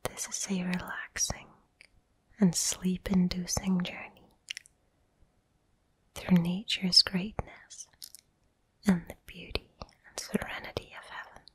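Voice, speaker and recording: Very slow whispering woman, ASMR guide. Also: fairly low pitch, little pitch movement, breathy edge, low-quality recording. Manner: soft whispering, soothing, unhurried with long pauses. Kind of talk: whispered ASMR guided visualization for relaxation and sleep.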